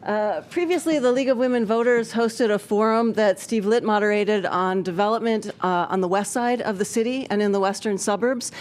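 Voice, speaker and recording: A woman's voice: medium pitch, fairly quick, hardly any pauses. A woman opening a public forum as moderator, talking casually with many small hesitations. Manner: casual, with many ums and uhs. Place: public library meeting room.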